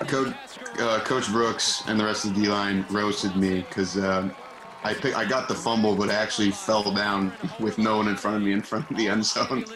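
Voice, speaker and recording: A man's voice: on the low side, fairly even intonation, normal speed, echoey room, crowd noise. A young man, a former defensive player, casually telling self-mocking stories. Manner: self-mocking, laughing easily. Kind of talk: casual reminiscing.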